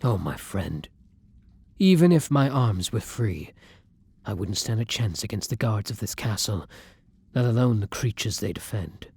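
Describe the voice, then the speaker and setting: Man acting a breathy, husky, tender vampire. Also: lowish voice, lively intonation, unhurried, dry recording, heavily acted. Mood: grave, pensive. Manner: soft breathy murmur, formal old-fashioned phrasing. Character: kind, tormented male vampire, tender and protective lover. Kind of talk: roleplay audio drama, intimate ASMR.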